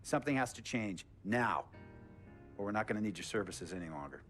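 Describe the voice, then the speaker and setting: A man's voice: on the low side, expressive, fairly quick, fairly bright tone. A stern sports team owner in a game cutscene, blunt and fed up. He speaks with firm authority and insistence, in a naturalistic dramatic style, with music behind him. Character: stern, fed-up male basketball team owner laying down ultimatums.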